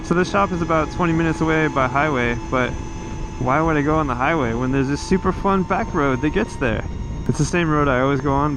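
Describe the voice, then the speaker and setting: An easygoing American man talks through his ride in a loose, musing way, with plenty of fillers and asides. His voice sits at an ordinary pitch. Road and outdoor noise runs clearly underneath him. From United States. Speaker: motovlogger host. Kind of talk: casual vlog chat while riding. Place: outdoors on an electric motorcycle.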